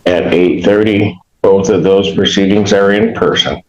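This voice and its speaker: A man's voice: low voice, steady tone, measured pace, a little mellow. A man speaking as a judge in court, putting procedural questions and rulings formally and insistently. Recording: dull, noisy courtroom microphone audio.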